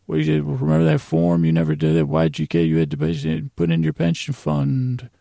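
A nagging, insistent auctioneer of worries, put on by a male podcaster, in a creaky voice. The patter is quick and repetitive, piling item on item in a bedtime monologue. The sound is dry and close. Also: a little high, lively intonation, mellow, no echo, exaggerated voice. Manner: rapid repetitive chanting patter.

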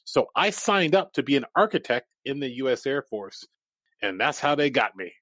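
A man's voice: mid-range voice, big pitch swings, moderate pace, a bit bright, no room sound. A man in his forties, a native Japanese speaker, a guest in an interview who tells his life story candidly and laughs easily.

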